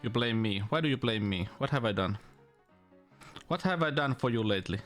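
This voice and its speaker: A man's voice: medium pitch, lively intonation, brisk, a bit bright, soft background music. A man streaming a game, talking casually to himself in a rambling, playful way. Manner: rambling, playful self-talk. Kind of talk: casual gameplay chat.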